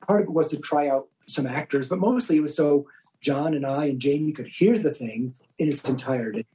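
A man's voice: on the high side, a little fast, warm tone, slight background noise, poor audio. A man answering as an interview guest in a discursive, explanatory way. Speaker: podcast guest, audio drama director.

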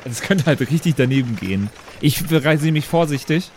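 A man playing a tabletop role-playing game, improvising casually in a halting way with repeated words. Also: on the high side, animated, darkish tone. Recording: clearly audible background noise.

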